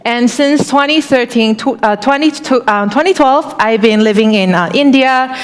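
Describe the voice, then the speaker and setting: A woman from Taiwan speaks from a conference stage in a relaxed, chatty way, often turning to the audience with questions. Her voice carries a long reverb from a large hall. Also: fairly high pitch, moderate pace, clear, resonant tone. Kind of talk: conference talk.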